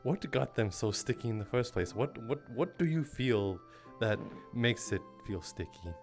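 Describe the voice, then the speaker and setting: A man's voice: medium pitch, lively intonation, normal speed. A man doing improvised roleplay chat in a teasing, wry way, as a streamer in character. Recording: music playing behind the voice. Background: music.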